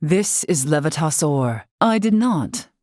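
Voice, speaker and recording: धीमे सुर वाली गंभीर महिला मुखिया. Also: female voice, low voice, animated, a little mellow, no echo, clean studio sound. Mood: solemn, composed. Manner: formal, archaic, measured phrasing. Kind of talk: video game character acting.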